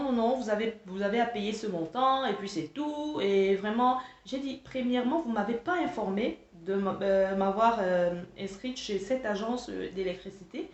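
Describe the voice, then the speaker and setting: A woman's voice: medium pitch, darkish tone. A woman telling a personal story to listeners in an exasperated, emphatic way, often repeating words as she searches for phrasing. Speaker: online coach telling a personal anecdote. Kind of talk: spoken storytelling to camera, recounting dialogue. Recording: echoey room, slightly dull lo-fi sound.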